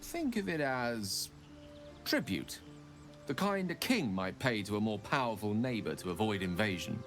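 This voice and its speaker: A bookish fantasy game wizard, lowish voice, eloquent and kinda remorseful, music playing loud behind. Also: male voice, sing-song. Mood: earnest, wry. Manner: eloquent, theatrical, measured storytelling. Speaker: game character. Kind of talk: dramatic video game dialogue.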